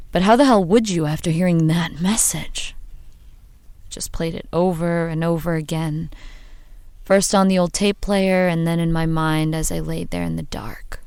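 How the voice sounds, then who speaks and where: A woman's voice: on the low side, darkish tone, dry recording. An actress playing a young woman narrating her anxious thoughts after her father's death. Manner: brooding inner monologue, slow.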